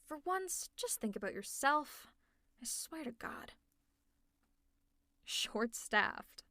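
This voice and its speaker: The villainess in a late-night ASMR roleplay, played by a woman, needling her favorite nemesis with fond exasperation and sarcasm in a fairly high voice, close and without any room echo. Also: very animated, slow, sharp tone, full character voice. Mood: exasperated, caring. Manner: sarcastic, teasing, conversational. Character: teasing, exasperated, secretly caring female supervillain. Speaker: audio roleplay performer.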